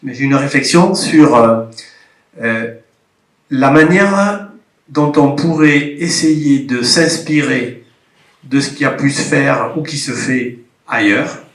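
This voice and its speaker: A man's voice: medium pitch, slow pace, long pauses. A man with a cold speaking up from a workshop audience, reflective and hedging. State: has a cold. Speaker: participant from a regional agriculture office. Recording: roomy conference hall sound.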